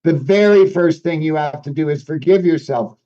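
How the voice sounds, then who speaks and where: A man's voice: fairly high pitch, normal speed, warm tone. A man whose first language is French, hosting a reflective conversation and explaining ideas thoughtfully, with restarts as he searches for words. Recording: dull lo-fi sound.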